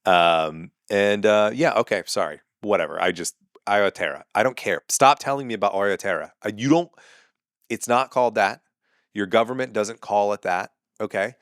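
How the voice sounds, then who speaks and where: A man's voice: on the low side, moderate pace, a bit bright, no echo, studio quality. A man, a comedian and podcast host ranting sarcastically and with exasperation in a casual monologue.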